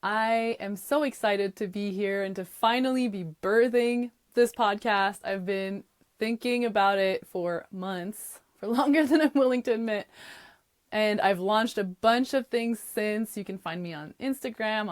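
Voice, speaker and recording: A woman in her thirties from Quebec whose first language is French, hosting her own podcast alone and talking in a chatty, upbeat way with soft laughs between phrases. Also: mid-range voice, a little slow, clear, resonant tone. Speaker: podcast host. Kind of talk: casual solo podcast monologue.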